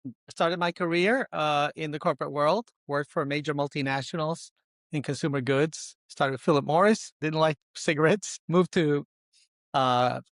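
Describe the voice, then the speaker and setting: A man in his sixties from Colombia, answering interview questions in deliberate short phrases that often list things. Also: fairly high pitch, no room sound, studio quality. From born in Colombia, immigrated as a small boy. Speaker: podcast guest, luxury consultant and investor.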